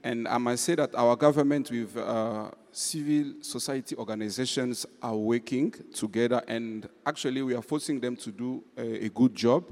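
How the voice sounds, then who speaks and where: Male interpreter, measured and halting, mid pitch, hall echo. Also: darkish tone. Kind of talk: interpreting at a panel. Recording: reverberant hall.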